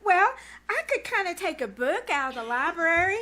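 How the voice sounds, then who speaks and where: A woman's voice: extremely high pitch, very animated, normal speed, sharp tone, exaggerated voice. A woman playing a dramatic, girlish 1940s teenage daughter in exclamatory old-time radio comedy style. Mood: eager. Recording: noisy live theater recording.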